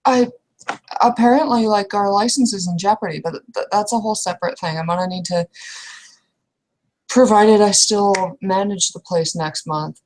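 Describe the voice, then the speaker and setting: A woman playing an anxious young female restaurant manager in a roleplay, speaking in a hesitant, halting way. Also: medium pitch, darkish tone, lightly acted, poor audio. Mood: stressed, worried. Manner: hesitant, halting, fragmented speech.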